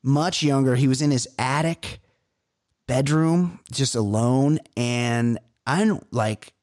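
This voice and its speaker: A man's voice: mid-range voice, slow. A man from California co-hosting a comedy podcast, chatting casually and telling rambling stories full of fillers. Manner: rambling, animated storytelling with many fillers. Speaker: podcast co-host. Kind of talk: casual chat.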